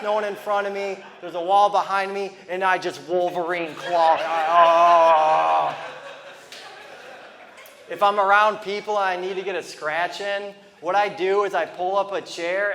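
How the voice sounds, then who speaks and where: A man in his thirties with a clear lisp works through a stand-up set, his voice sitting high for a man. He rambles loosely and turns to the crowd with quick asides. The sound carries a long reverb and a faint hiss underneath. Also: a bit bright. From Toledo, Ohio, US. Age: 30s. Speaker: stand-up comedian. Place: comedy venue with a live audience.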